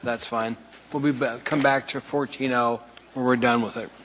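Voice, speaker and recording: Man, assembly chair, brisk procedural reading, council chamber with long reverb. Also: medium pitch, fairly even intonation, fairly bright tone, a little hiss or hum. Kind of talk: chairing a public meeting.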